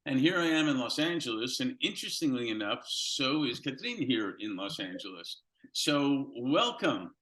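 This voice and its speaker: A man's voice: mid-range voice, sing-song, moderate pace, fairly bright tone, poor audio. An older man hosting an interview series, friendly and rambling, often restarting his questions. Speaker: host. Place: Los Angeles.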